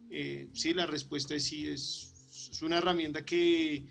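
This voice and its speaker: A man answers conference questions over a video call, his voice fairly high and almost flat. He hesitates often and repeats words as he works through his answers. Faint music plays behind him. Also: a little slow, vocal fry. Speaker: conference speaker. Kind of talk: conference talk and Q&A.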